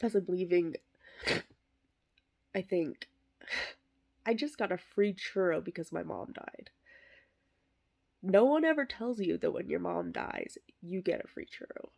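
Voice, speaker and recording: A woman voicing a bitter, sardonic middle-aged male horse actor, delivering a comic eulogy monologue in a deadpan, wry way. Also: on the low side, measured pace, creaky, long pauses, exaggerated voice. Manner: deadpan, self-deprecating, wry.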